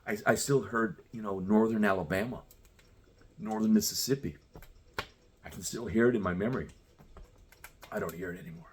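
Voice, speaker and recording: A lower-voiced man in his sixties rants at his listeners, swearing and challenging them directly. He circles back on his own phrases as he pushes his point. A faint hiss of noise sits behind him. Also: fairly quick, long pauses, darkish tone. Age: 60s. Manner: profane, confrontational, repetitive. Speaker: commentator. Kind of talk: ranting monologue.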